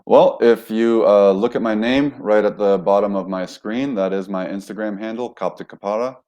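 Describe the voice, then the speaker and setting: A man's voice: on the low side, moderate pace, mellow. A man from the United States, a guest in an interview, telling his own story in a rambling way, with many fillers and self-corrections. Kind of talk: casual interview talk.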